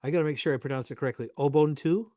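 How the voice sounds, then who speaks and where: Higher-pitched male podcast host, dry close sound. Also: very fast, dark tone, no echo. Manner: effusively appreciative, encouraging. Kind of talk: interviewing, conversational.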